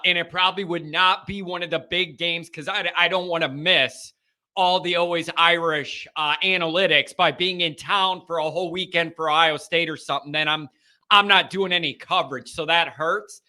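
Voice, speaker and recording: A man's voice: on the high side, moderate pace. A man hosting a sports talk show, chatting casually and energetically while his voice sounds strained. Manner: energetic, chatty, loud openers. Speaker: sports podcast host.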